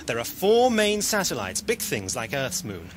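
Audio drama lead: learned, excitable time-travelling adventurer, lively intonation, music behind. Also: male voice, mid-range voice, moderate pace. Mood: absorbed, explaining. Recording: loud music and effects behind.

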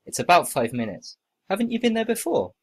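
A man acting out short scripted everyday dialogues for a listening test, with plain, expressive conversational reading. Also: high-pitched, a little fast, husky.

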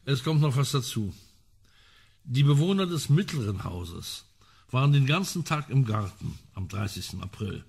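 A man's voice: mid-range voice, sing-song, moderate pace, gravelly, darkish tone, husky, heavily acted. An actor playing a well-informed male amateur sleuth in a radio crime drama, talking in a dry, precise, ironic way. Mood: matter-of-fact.

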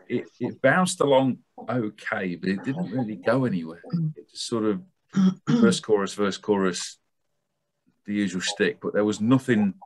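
Man, forties, deep-ish voice, rambling panel chat, webcam call. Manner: rambling, many ums and sort-ofs. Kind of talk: casual review chat. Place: home room with bookshelves. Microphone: webcam on video call.

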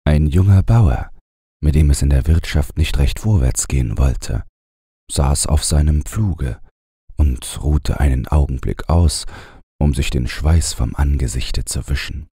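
A man's voice: a bass voice, unhurried, dark tone, slightly rough, no room sound, studio quality. A man narrating a scripted fairy tale as a bedtime audiobook.

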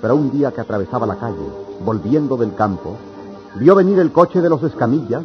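A man's voice: medium pitch, expressive, a little fast, lightly acted. A man narrating a radio drama in a solemn, poetic, scripted style. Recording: dull old radio recording. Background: music.

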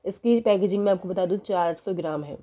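A woman's voice: fairly low pitch, a little fast. A woman, walking through supermarket sale prices in a casual vlog, filming a poster on her phone in a store. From Pakistan. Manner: steady price listing with filler "jo hai". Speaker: shopping vlogger. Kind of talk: casual product walkthrough. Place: indoors in a supermarket.

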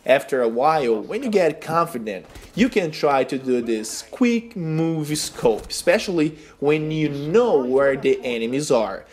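A man's voice: fairly high pitch, animated, unhurried, hardly any pauses, slight background noise. A young man from Brazil with a Brazilian Portuguese accent, a non-native English speaker narrating a game tips tutorial in an earnest, simple instructional way. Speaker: gaming channel host.

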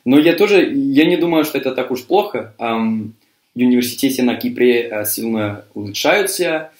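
A young man from Cyprus, a native English speaker, answers interview questions as a university student, pausing often with fillers and restarts. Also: medium pitch, lively intonation. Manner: hesitant, many fillers and restarts. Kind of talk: interview answers in a learned language. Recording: echoey room.

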